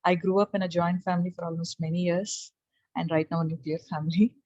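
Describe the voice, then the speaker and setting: A middle-aged woman from South India whose first language is Telugu, speaking softly over a video call with many fillers as she shares her personal story. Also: fairly low pitch, little pitch movement, quick pace, dry recording. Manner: soft-spoken, rambling, with frequent fillers and small laughs. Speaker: guest presenter. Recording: dull lo-fi call audio.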